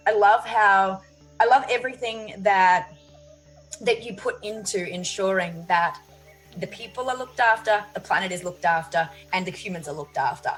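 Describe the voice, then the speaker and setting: A woman hosts a relaxed conversation, praising her guest with heartfelt warmth. She restarts her phrases often. Her pitch is average, with faint music beneath. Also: poor audio. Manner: warm, effusive, halting with restarts. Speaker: host. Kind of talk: casual interview.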